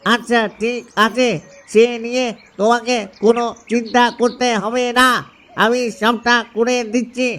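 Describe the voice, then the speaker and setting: A man's voice: very high voice, level tone, measured pace, a bit bright. A man voicing an easygoing, doting father duck husband in a cartoon. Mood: eager. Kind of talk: cartoon dialogue.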